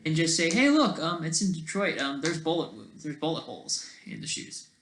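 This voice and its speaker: Creaky-voiced speaker rambling through casual commentary. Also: mid-range voice, very animated, normal speed, a bit bright. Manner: rambling, thinking aloud, frequently digressing. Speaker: video creator.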